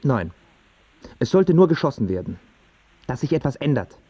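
Earnest, husky young male artist. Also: mid-range voice, sing-song, normal speed, mellow, no room sound, lo-fi sound. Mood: detached, evasive. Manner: argumentative, pretentious intellectual phrasing. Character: earnest, stubborn young male artist, evasive and self-absorbed. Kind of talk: German radio play acting.

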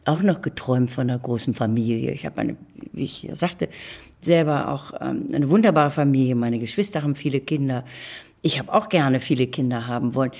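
A woman's voice: low voice, vocal fry, warm tone, husky. An older woman giving reflective, conversational interview answers, speaking emphatically with opinions and repeated words. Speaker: interview guest.

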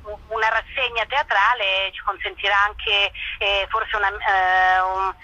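A woman's voice: medium pitch, steady tone, measured pace, pushed and bright, no room sound. A woman being interviewed by telephone, talking casually and hesitantly as she searches for her words. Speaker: actress, interview guest. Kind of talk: casual spoken interview over the phone. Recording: phone call to a radio show.

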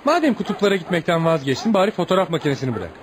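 Eager, fast-talking adventurous male writer. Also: fairly high pitch, sing-song, a crowd in the background. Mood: friendly. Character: eager adventurous American male travel writer, chatty and stubborn. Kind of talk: radio play acting with spoken-aloud thoughts. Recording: clearly noisy radio drama recording.

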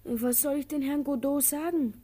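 Lady voicing timid goatherd kid, a boy, high soft voice, short obedient answers, german radio play. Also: steady tone, normal speed, close to an everyday voice. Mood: timid, unsure. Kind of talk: German-language radio drama acting.

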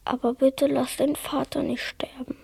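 A woman playing an anxious, devout little peasant boy in a radio drama, with a breathy, husky voice. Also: high voice, flat delivery, moderate pace, warm tone, full character voice. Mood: pleading prayer.

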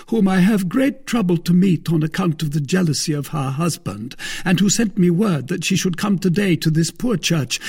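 A man's voice: high-pitched, creaky, a little mellow, slightly rough, voice pushed up, full character voice. A man voicing a vain, boastful male musketeer who declaims grandly and pompously. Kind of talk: audiobook character reading.

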